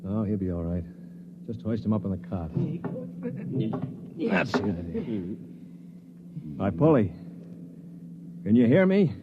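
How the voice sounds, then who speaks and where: An actor playing a blunt, cynical Earthman prisoner who narrates a 1950s science fiction radio play in a sardonic, forceful manner. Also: on the low side, sing-song, slow pace, pauses often, noisy background. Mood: sardonic, commanding. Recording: muffled 1950s radio recording.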